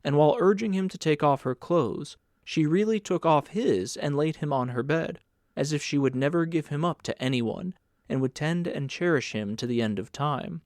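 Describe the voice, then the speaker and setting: A man's voice: fairly high pitch, normal speed, a little mellow, no echo, clean studio sound. A man reading literary fiction as a scripted audiobook narration.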